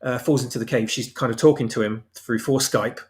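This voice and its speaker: A man's voice: medium pitch, fairly even intonation, fairly quick, a little mellow. A man talking casually as an interview guest, explaining his own story and rephrasing as he goes. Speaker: guest, audio drama writer.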